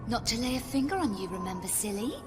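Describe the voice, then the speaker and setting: An actress playing a cool, sardonic adventurer in a video game, dry and teasing, with a British accent. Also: female voice, a little high, animated, fast talker, natural voice. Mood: mocking, teasing. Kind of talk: video game cutscene acting. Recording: long hall reverb, loud music.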